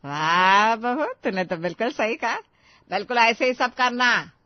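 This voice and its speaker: A woman's voice: on the high side, a little slow, dry recording, muffled recording, natural voice. A woman voicing a demanding older mother-in-law in a family story, with a commanding, emphatic, nagging manner.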